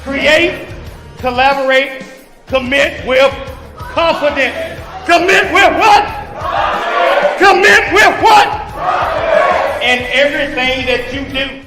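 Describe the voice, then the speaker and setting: A man's voice: extremely high pitch, slow pace, pauses often. A man giving an emphatic motivational speech, repeating phrases like chants, in an echoey hall. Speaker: motivational speaker. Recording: echoey hall, music and crowd behind.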